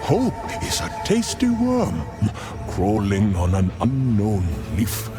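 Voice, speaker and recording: Cryptic old-world seer man, game voice, super drawn out, lowish, riddly and theatrical. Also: huge pitch swings, over music, exaggerated voice. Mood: sly, musing. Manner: riddling, halting, theatrical, broken grammar. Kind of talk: fantasy game acting.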